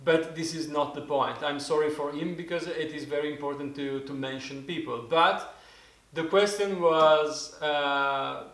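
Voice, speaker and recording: A man in his forties with an Italian accent, teaching in a casual spoken lesson, often pausing and restarting as he searches for words in English. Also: fairly high pitch, steady tone, a bit bright, echoey room. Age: 40s. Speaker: didgeridoo teacher.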